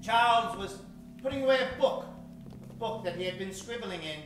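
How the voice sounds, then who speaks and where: A droll storyteller narrating a comic radio mystery, voiced by a man. He phrases things deliberately for suspense, with wry asides. The voice is bright, distant and very echoey. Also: high voice, faint music behind. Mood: suspenseful. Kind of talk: dramatic narration, old-time radio with modern twist. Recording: very echoey stage, heard at a distance.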